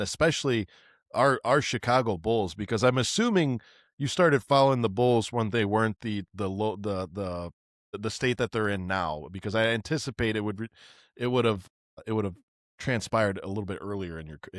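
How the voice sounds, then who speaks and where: A man's voice: lowish voice, a little fast, no room sound, studio quality. A man hosting a podcast in casual chat, stammering and repeating words as he builds his questions. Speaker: podcast host.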